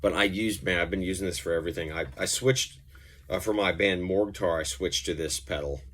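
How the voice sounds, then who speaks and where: Low-voiced man, casual gear demo. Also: a little fast, a bit bright. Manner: informal, rambling, mildly profane. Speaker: musician demonstrating effects pedals. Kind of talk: casual gear review, off-the-cuff demonstration.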